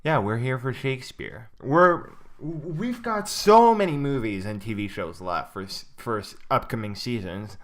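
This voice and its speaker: A man's voice: medium pitch, expressive, measured pace, hardly any pauses. Rambling young man co-hosting a podcast. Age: young adult. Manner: rambling, many ums and uhs, laughs often. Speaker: podcast co-host. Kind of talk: casual chat.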